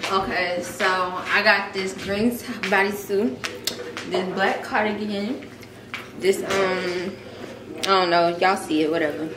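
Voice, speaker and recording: A young woman vlogging casually at home in a relaxed, slangy way. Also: medium pitch, slow. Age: young adult. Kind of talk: casual vlog chat. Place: apartment living room, indoors. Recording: echoey room with background noise.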